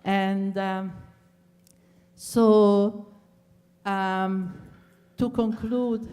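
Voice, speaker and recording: A woman closing a lecture, earnest and measured, pausing on fillers. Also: medium pitch, steady tone, dark tone. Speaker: speaker at a talk. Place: large lecture hall. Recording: hall reverb from a distance.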